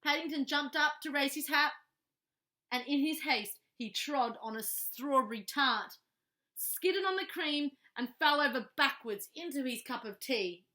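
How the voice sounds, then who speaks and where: A woman reading a children's story aloud in an expressive, animated way, pausing to put questions to young listeners. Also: high voice.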